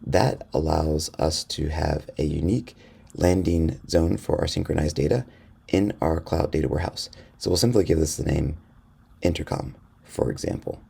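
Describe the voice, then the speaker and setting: Man, tutorial voice-over, very low pitch, mellow tone, measured step-by-step explaining. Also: vocal fry, breathy edge. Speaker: software tutorial narrator. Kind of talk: instructional screencast narration. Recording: voice-over with faint background noise.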